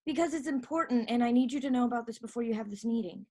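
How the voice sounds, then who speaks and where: An actress playing an earnest, defensive young woman, a halting and pleading younger sister in a stage play. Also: fairly high pitch, dark tone. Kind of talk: naturalistic one-act stage play.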